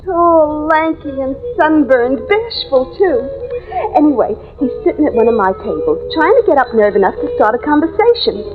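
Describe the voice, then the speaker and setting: An actress playing a slangy, gossipy, easily frightened young waitress in an old radio drama. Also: female voice, sits high, big pitch swings, moderate pace. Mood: chatty, gossipy. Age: young adult. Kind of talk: 1940s-50s radio drama acting. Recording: tinny vintage radio, music behind.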